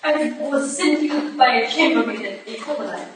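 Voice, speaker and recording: Woman acting a urgent Russian ballerina, high voice, stilted formal phrasing, echoing room. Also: normal speed, lightly acted. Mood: grave, insistent. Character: proud, urgent young Russian ballerina in Victorian London. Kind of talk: staged play reading. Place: live staged reading in an echoey room. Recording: very echoey room, distant mic, faint noise.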